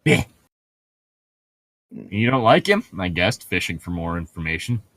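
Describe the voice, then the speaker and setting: A man's voice: deep voice, big pitch swings, measured pace, a bit bright. A man co-hosting a read-aloud, delivering prose and breaking off for irreverent, sarcastic, sweary commentary. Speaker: co-host reading a novel aloud with commentary. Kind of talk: reading aloud with casual joking commentary.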